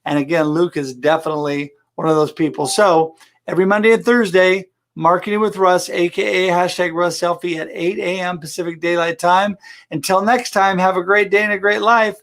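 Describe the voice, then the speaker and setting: A man's voice: high voice, level tone, fairly quick, a bit bright. A man hosting a live show, talking in a friendly, upbeat way with his guest and viewers. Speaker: host. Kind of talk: casual live-stream chat.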